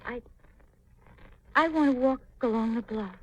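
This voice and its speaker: A woman's voice: a little high, measured pace, a little hiss or hum, close to an everyday voice. An American woman playing an anxious young aspiring actress and reluctant accomplice in a 1950s radio mystery, earnest, hesitant and dramatic. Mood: earnest, pleading. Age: young adult. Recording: muffled, lo-fi 1950s radio recording.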